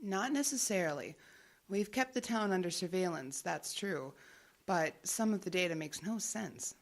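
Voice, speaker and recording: Guarded, low-voiced female investigator. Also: expressive, normal speed. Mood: guarded, dry. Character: guarded, businesslike female investigator working undercover. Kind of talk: audio drama acting.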